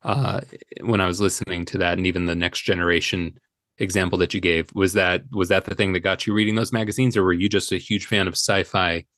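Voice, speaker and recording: A man's voice: low voice, fairly even intonation, a little fast, a bit bright, dry recording, studio quality. An American man chatting casually and enthusiastically as a podcast guest. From United States. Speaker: podcast guest, audio drama creator. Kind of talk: casual chat.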